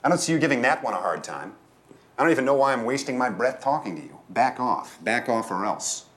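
A man's voice: medium pitch, sing-song, a little fast, a bit bright. A man voicing a hot-tempered, sarcastic man in disguise in a storybook, with a pushy, indignant, griping manner. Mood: threatening.

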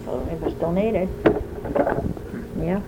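A woman's voice: fairly low pitch, slow, heavy background noise. An older woman from France with a French accent, telling long rambling stories as the interviewee in an oral history interview. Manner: talkative, rambling storyteller.

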